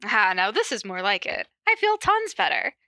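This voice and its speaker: A woman's voice: mid-range voice, very animated, moderate pace, pushed and bright, dry recording, studio quality. A woman voicing a volatile young male brawler, playful and threatening, in a story reading. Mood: pleased.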